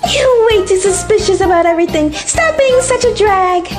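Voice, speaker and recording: Very high-pitched whiny teenage cartoon heroine. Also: female voice, expressive, moderate pace, music in the background. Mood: pushy, excited. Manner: loud, whiny, melodramatic. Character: bratty, whiny, excitable teenage heroine girl. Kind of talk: anime fandub acting.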